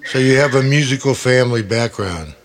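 A man in his late sixties hosting a radio podcast, chatty and enthusiastic, with quick interjections. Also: medium pitch. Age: 60s. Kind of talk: casual conversational interview.

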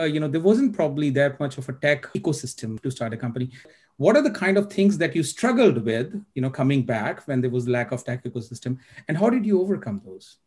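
A man's voice: medium pitch, a little mellow. A man hosting an online speaker-series interview, giving formal introductions with many hesitant "uh" fillers.

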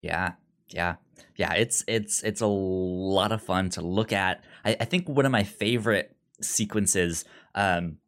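A man with a pronounced stutter, speaking in a halting but enthusiastic way as a podcast co-host casually discussing films. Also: deep voice, fairly bright tone. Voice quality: pronounced stutter, repeating sounds and words.

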